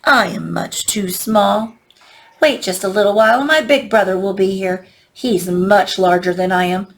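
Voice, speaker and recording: A woman voicing a middle-sized male billy goat in a children's storybook, careful and reasoning, nervous but trying to stay calm. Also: medium pitch, normal speed, natural voice. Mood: nervous, persuading. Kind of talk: children's storybook read-aloud.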